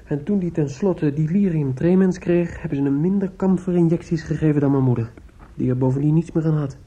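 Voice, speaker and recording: An actor in a Dutch radio play playing an earnest young suitor, protective and quietly vengeful, probing and insistent with some hesitation. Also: male voice, a little high, a little fast, breathy edge. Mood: bitter, vengeful. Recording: muffled, lo-fi old radio recording.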